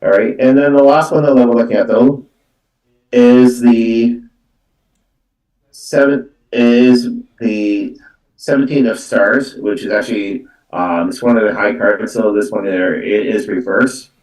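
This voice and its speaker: A man hosting live card readings as a psychic medium, talking off the cuff in a halting, repetitive way with many filler words like "though" and "actually". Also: medium pitch, fairly even intonation, darkish tone. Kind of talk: unscripted live-stream talk. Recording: roomy echo, distant from the mic.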